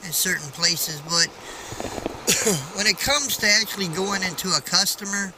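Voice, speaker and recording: A man's voice: high voice, unhurried. A man driving a truck, chatting casually to his viewers as he goes. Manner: chatty, talks to viewers and other drivers as he goes. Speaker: truck driver vlogger. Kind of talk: casual narration while driving. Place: inside a moving truck cab. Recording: truck cab with engine and road noise.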